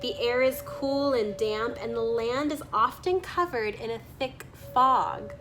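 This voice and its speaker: Lady doing a read-aloud, slightly high voice, really unhurried, music playing behind her. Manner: pauses to remark on the illustrations. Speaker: picture book reader. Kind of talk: read-aloud with spontaneous comments on pictures.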